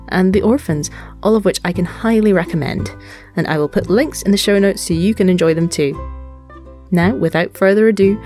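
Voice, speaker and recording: A woman's voice: fairly low pitch, a little mellow. A woman podcast creator reading a scripted introduction to an episode. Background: plucked guitar music.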